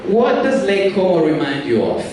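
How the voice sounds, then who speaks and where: A young Italian man with a clear Italian accent works a comedy club crowd in a loose, teasing way, often jumping up into high, mocking voices. His voice sits a bit high for a man. It carries through a very echoey room, miked from a distance, with a noisy hall behind him. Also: sing-song, normal speed, a little mellow. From Italy. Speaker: stand-up comedian. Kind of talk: stand-up comedy set.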